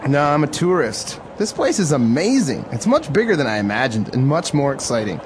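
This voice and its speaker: A man's voice: mid-range voice, very animated, brisk, lightly acted. A man voicing a friendly, chatty tourist in a scripted lesson dialogue, with street and traffic sounds behind him. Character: friendly male tourist on a train.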